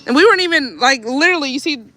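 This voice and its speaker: A woman's voice: high-pitched, expressive, rapid-fire, pushed and bright, a little hiss or hum. A woman in her thirties, chatty and teasing, co-hosting a casual outdoor livestream. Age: 30s. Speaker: co-host reading viewer comments. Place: outdoors on a rural roadside.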